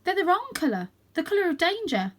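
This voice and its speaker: A woman's voice: extremely high pitch, big pitch swings, brisk, pitched above the performer's own voice. A woman voicing a young male cat hero from a storybook, earnest and anxious but determined, speaking with urgent, emphatic questions. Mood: anxious, unsure.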